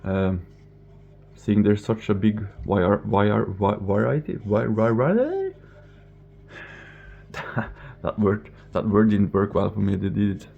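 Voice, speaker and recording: Man, from Norway, non-native English, rambling casual vlog, mellow tone, slightly muffled home recording. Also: on the low side, big pitch swings, takes it slowly, vocal fry, pauses often, slightly rough. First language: Norwegian. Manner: rambling, stumbles over words, chuckles at himself. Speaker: aquarium hobbyist vlogger. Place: apartment, indoors. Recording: slightly dull home recording with faint noise.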